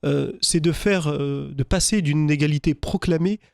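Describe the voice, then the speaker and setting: A man's voice: on the high side, normal speed, gravelly, darkish tone, dry recording. A man from Lorraine, a business lawyer, answering a radio interview in a studio, speaking with many hesitations and fillers. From Lorraine, France. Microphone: studio microphone.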